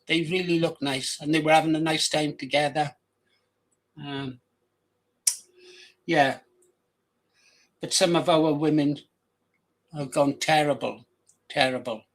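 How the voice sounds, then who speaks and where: Older man, Welsh accent, fairly high voice, blunt, crude, rambling monologue. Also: poor audio. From England, raised in Wales from age ten. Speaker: channel host.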